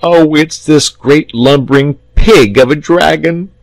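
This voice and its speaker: A man's voice: on the high side, unhurried, gravelly, no room sound, voice pushed up. A man voicing a tired, tearful little boy in a storybook, piteous and complaining. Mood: sobbing, aggrieved. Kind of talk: storybook character voice.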